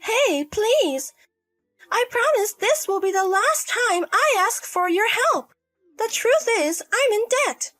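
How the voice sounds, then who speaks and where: A woman's voice: extremely high pitch, very animated, slow pace, fairly bright tone, faint music behind, voice pushed up, full character voice. A woman voicing a selfish, irresponsible young single mother in a story drama, wheedling and petulant. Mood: pleading, whiny. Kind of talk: acted dialogue in a narrated drama story.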